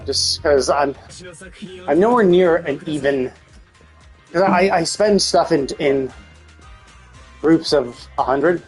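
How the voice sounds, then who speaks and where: A man's voice: fairly high pitch, slow, pauses often, low-quality recording. A man thinking aloud in a rambling, casual way as he streams a video game. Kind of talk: casual livestream gameplay chat. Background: music.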